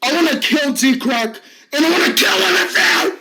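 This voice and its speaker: A man playing a bullied man in his fifties, shouting high and cracking into sobs, in an amateur drama. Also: big pitch swings, moderate pace, slight background noise, exaggerated voice. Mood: distraught. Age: 50s. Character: bullied fifty-year-old man, desperate and tearful.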